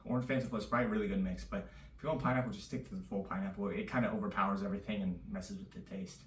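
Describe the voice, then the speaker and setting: A man chatting casually in a loose, rambling vlog, his voice a little raspy. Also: fairly low pitch, fairly even intonation, fast, slight background noise. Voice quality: slightly raspy. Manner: loose and rambling, full of fillers. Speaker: vlogger. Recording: echoey room, distant mic.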